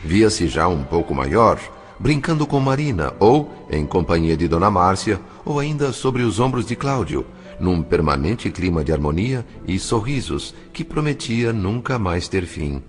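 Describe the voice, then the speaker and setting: A man playing the solemn narrator of an audio novel, reading literary prose in measured phrases. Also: low voice, sing-song. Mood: solemn, reflective. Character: solemn male narrator of a spiritual family saga. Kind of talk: scripted dramatic narration. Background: faint music.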